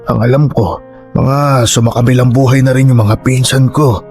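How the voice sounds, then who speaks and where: A man acting in a radio drama as a humble, weary elderly grandfather with a Filipino accent, halting and apologetic. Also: medium pitch, steady tone, a little mellow, natural voice. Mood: resigned. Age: older. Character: humble, weary elderly grandfather street vendor, stubbornly hardworking. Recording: music bed under dialogue.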